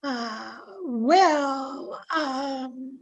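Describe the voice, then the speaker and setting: A very high, thin-pitched female housemaid, acted by a woman in a radio sitcom. She is folksy and deferential, yet frank and chatty with her employer. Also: very slow, vocal fry, exaggerated voice. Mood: hesitant. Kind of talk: old-time radio sitcom acting.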